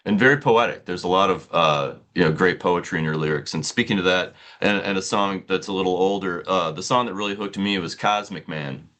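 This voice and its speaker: A man's voice: low voice, brisk, hardly any pauses, fairly bright tone. A man interviewing a band in an easygoing, enthusiastic way. He laughs often and is generous with praise. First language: English. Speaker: music interviewer. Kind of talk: casual interview.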